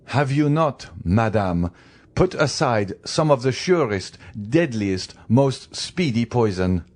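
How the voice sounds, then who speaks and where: Storybook villain-judge voiced by a man: stern husband passing sentence, deliberate, emphatic, widely varied pitch. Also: darkish tone. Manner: cold, commanding, emphatic oratory. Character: stern, merciless male magistrate condemning his wife.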